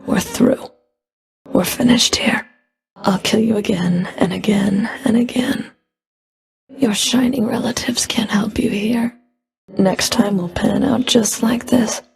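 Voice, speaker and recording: A woman playing a cold, scornful Fury of the underworld in a video game, taunting with deliberate, contemptuous menace and a breathy edge. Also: mid-range voice, a little mellow. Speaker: video game villain voice actor. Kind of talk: video game combat voice lines.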